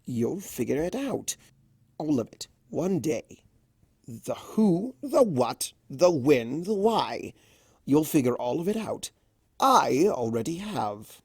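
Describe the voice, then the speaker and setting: A performer voicing a male chaos creature, a smug and theatrical trickster who teases in drawn-out phrases, for a story reading. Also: mid-range voice, very animated, breathy edge, dry recording, clean studio sound, exaggerated voice. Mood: teasing, knowing. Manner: drawn-out, theatrical, teasing delivery.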